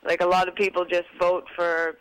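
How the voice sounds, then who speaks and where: A woman's voice: fairly low pitch, completely flat delivery, normal speed, a bit bright, smooth. A young woman calling in to a live show, hesitant and full of fillers. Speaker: caller. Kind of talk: phone-in call. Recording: phone line.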